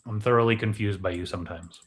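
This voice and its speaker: A man's voice: lowish voice, fairly even intonation, fast. A man co-hosting a movie discussion show, talking casually and explaining his points to his co-host. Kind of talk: casual film theory discussion.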